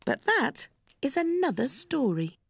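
A woman narrating a children's cartoon story, warm and lilting. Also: high-pitched, very animated, measured pace, vocal fry.

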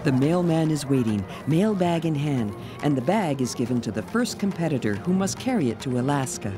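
A man reading scripted documentary narration in a measured way. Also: on the high side, big pitch swings. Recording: voice-over with loud music behind.

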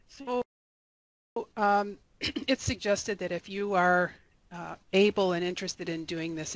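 A man giving a recorded slideshow lecture as an extension educator, relaxed and rambling, with many fillers and restarts. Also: a little high, muffled recording. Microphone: voice-over for slides.

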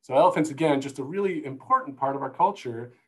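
A man's voice: medium pitch, fast, breathy edge. A middle-aged man with an American accent giving an informal slide presentation as a guest expert over a video call. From United States. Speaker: zoo conservation manager, guest speaker. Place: room indoors. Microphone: earphone headset on video call.

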